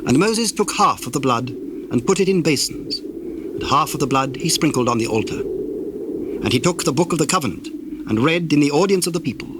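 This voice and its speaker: A man giving a formal, measured narration of dramatized scripture. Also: a little high, animated. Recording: very noisy old cassette tape.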